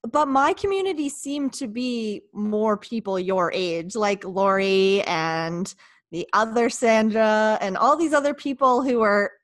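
A woman's voice: medium pitch, a little slow. A woman in her late twenties from Canada, chatting casually as a podcast guest with lots of "like". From Ottawa, Canada. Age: 20s. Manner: relaxed, laughing, lots of "like". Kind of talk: casual interview chat.

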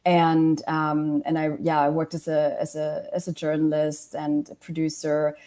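Woman, forties, grew up in Germany; documentary filmmaker as guest, low and mellow, earnest with lots of restarts. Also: completely flat delivery, measured pace. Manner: earnest, many fillers and restarts. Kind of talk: interview answers.

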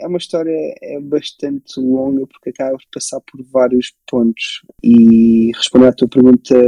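A young man with a European Portuguese accent talks casually as an interview guest. His voice is very dark and mellow, with a gravelly creak and a husky edge. He tells his story in a conversational way. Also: mid-range voice, dry recording, rough recording. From born in Belgium, grew up in Portugal from age six. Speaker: interview guest, photographer and filmmaker.

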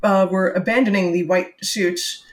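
A woman's voice: on the low side, steady tone, moderate pace. A middle-aged woman from the New York area, co-hosting a comedic book podcast with sarcastic, joking banter and long stories. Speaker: podcast co-host. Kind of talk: casual comedic book chat.

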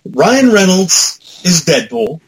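A man with a slightly high voice chats casually as a podcast co-host. His delivery swings widely in pitch and rambles with a fan's enthusiasm and the odd mild curse. There is faint noise in the background. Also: slow, rough recording. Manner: animated, rambling, mildly profane fan commentary. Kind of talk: casual pop-culture chat.

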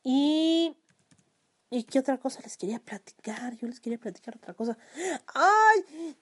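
High-voiced, crude Mexican woman ranting. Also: normal speed. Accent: Mexican Spanish. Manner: crude, lively, swearing. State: sore throat. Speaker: podcast host. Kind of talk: casual solo podcast ranting.

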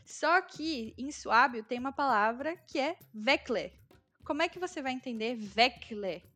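A woman's voice: sits high, expressive, a little slow, fairly bright tone, soft background music. A Brazilian woman with a Brazilian Portuguese accent, chatting casually and explaining things with lots of fillers. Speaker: guest, Brazilian living in Germany. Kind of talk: casual conversation.

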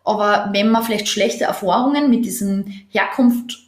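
A woman speaking at a mid pitch, heard in a noticeably echoey room. She explains things earnestly and at length, stringing clause onto clause as she tells her experiences. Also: normal speed. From Austria. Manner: earnest, long winding explanations. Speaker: podcast co-host. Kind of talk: casual conversation.

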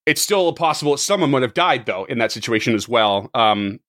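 A hoarse man acting as game master on a tabletop podcast, rambling and laughing a lot between restarts. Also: mid-range voice, expressive, brisk, a bit bright, dry recording, studio quality.

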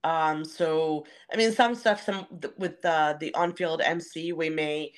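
A woman's voice: deep voice, steady tone, a little slow, clear, resonant tone. A woman who works as a stadium announcer, answering interview questions in a conversational, explanatory way.

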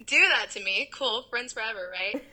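Young woman co-host, very bright voice, a bit high, dramatic, giggly. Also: animated, moderate pace, low-quality recording. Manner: dramatic, flustered complaints, giggly. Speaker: podcast co-host. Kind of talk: casual podcast chat.

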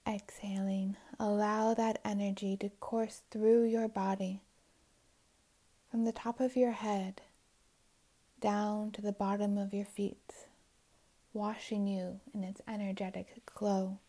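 A woman leading a guided meditation in a soothing, unhurried manner, with long pauses and soft, breathy phrase endings. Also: mid-range voice, level tone.

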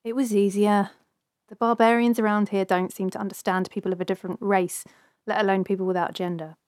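A brash half-elf woman from a fantasy audiobook, acted by a man, speaks in a raised, high voice. She is streetwise and sardonic, tossing out blunt colloquial remarks. The sound is close and dry. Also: level tone, a little fast, darkish tone, smooth, no echo, lightly acted. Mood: candid. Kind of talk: audiobook character dialogue.

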